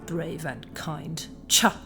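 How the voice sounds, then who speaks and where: A woman's voice: low-pitched, fairly even intonation, very slow, full character voice. A woman playing a towering, stern alien healer in an audio drama, sardonic and bitter, with an imperious, blunt delivery. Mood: bitter, angry. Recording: music behind the voice.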